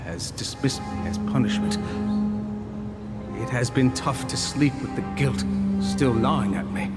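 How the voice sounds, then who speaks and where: A man's voice: medium pitch, expressive, measured pace, close to an everyday voice. A man voicing a humble, guilt-laden household servant in a game, formal, deferential and hesitant as he confesses. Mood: humble, remorseful. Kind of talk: dramatic game voice acting. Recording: long hall reverb, loud music.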